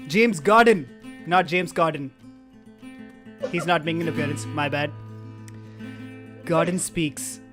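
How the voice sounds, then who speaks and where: A man's voice: on the high side, unhurried, long pauses. A man narrating stage directions dramatically at a table read, slipping into casual asides. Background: live guitar music.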